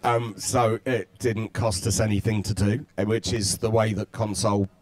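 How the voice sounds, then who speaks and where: Studio director, forties, male, lowish voice, blunt dry humour, dry handheld-mic sound. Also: level tone, normal speed, a little mellow, dry recording. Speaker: game studio director on a panel. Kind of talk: casual panel chat. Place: stage before a live audience. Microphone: handheld microphone.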